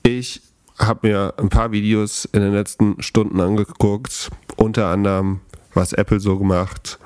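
A man hosting a podcast, opening the show and chatting casually in a brisk, joking way. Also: lowish voice, little pitch movement, darkish tone, smooth, no echo, clean studio sound. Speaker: podcast host. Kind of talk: casual chat.

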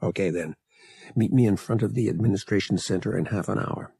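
A man's voice: lowish voice, brisk, vocal fry, warm tone, husky, exaggerated voice. A man voicing a casual, practical young smuggler pilot in an audiobook, slangy and wry, dropping word endings. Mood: wry, decisive. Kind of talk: audiobook character voice.

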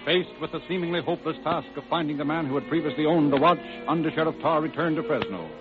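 A man's voice: fairly high pitch, fast talker. A man narrating as the announcer of a 1930s radio drama, in a scripted style. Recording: tinny old radio, music behind. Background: music.

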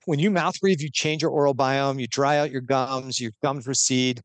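A man's voice: mid-range voice, fairly even intonation, normal speed, dry recording. A man talking as a guest expert on a podcast, explaining earnestly step by step with a few restarts.

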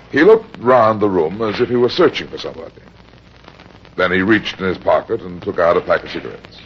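An actor playing a weary, hard-boiled private detective, terse and grave, in the style of 1940s radio. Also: male voice, sits low, slight background noise. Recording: dull old radio recording with music.

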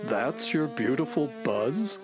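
A droll space-horse sidekick, talks very low and slowly. The delivery is wry and sardonic with wide swings in pitch, over music in a children's radio play. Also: female voice, sing-song, a crowd in the background, exaggerated voice. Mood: dry. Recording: music behind the voice.

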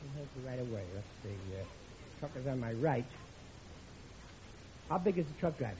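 A man's voice: mid-range voice, expressive, a little fast, dark tone, lightly acted. A man playing a blustering, stubborn, dim working-class American husband and dad in a 1950s radio sitcom. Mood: flustered, cocksure. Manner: blustering, emphatic. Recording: muffled, noisy old radio recording.

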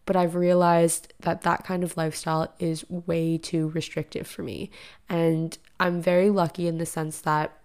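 A young woman hosting a solo podcast in a casual, rambling way, her voice raspy and a bit deeper because she has just woken up. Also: level tone, moderate pace, darkish tone. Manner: chatty, rambling, filler-heavy. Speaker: podcast host. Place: living room.

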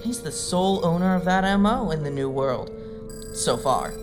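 A woman's voice: lowish voice, lively intonation, normal speed, natural voice. A woman playing a federal technical analyst in an audio drama, with a wry, rambling, informal way of talking. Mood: informative, wry. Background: music bed.

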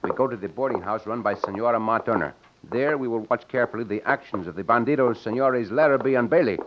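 An actor playing a confident, resolute Mexican cowboy hero in an old-time radio western, with a Mexican accent and deliberate, formal phrasing. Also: male voice, medium pitch, a little hiss or hum, natural voice. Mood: urgent. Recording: muffled vintage radio recording.

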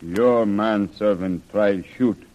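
An actor in a 1930s radio serial playing a loyal native servant who speaks halting broken English. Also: male voice, fairly low pitch, lo-fi sound. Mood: dutiful reporting. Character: loyal native servant and tracker, a grown man. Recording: old radio recording.

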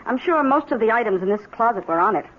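A woman's voice: a little high, animated, fast talker, natural voice. A woman playing a dutiful, matter-of-fact police sergeant in a 1970s radio drama, deferential and businesslike. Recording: muffled old radio with faint noise.

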